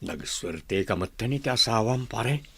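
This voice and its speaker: A man's voice: fairly low pitch, lively intonation, unhurried, husky, no room sound, full character voice. A man acting a weary working husband and father with a Filipino accent in a radio drama, plaintive and long-suffering. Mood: resigned.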